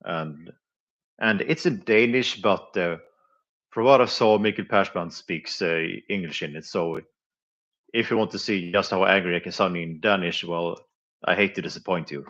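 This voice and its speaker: A man with a deep, low-pitched voice talks casually to viewers on a live stream. He speaks haltingly, filling gaps with small sounds and quiet chuckles. The recording sounds dull and slightly muffled. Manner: hesitant, many filler sounds, chuckles often. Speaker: live streamer reviewing flea market finds.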